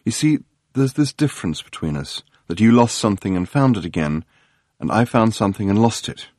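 A man's voice: lowish voice, lively intonation, fairly quick, darkish tone, dry recording, studio quality. A man voicing an earnest young woman who speaks in a pleading way.

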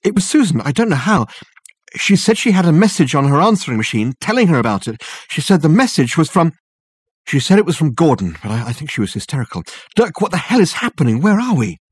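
A man reading a story, voicing a panicked young man who shouts urgently and pants for breath. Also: on the high side, sing-song, quick pace, breathy edge, no echo, studio quality, pitched above the performer's own voice. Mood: frantic.

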